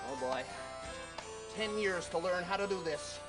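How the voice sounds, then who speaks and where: A man's voice: sits high, expressive, unhurried, loud music behind the voice. A man playing a clownish, eager royal jester, doing hammy, self-mocking comedy patter for a children's TV show. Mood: showy, self-mocking.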